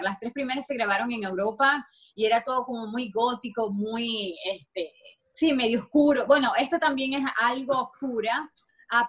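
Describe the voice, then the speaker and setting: Venezuelan woman, chatty and lively. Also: fairly high pitch. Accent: Venezuelan, Maracaibo. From Venezuela (Maracaibo, Zulia). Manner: chatty, many fillers and restarts. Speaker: actress guest. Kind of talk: interview conversation.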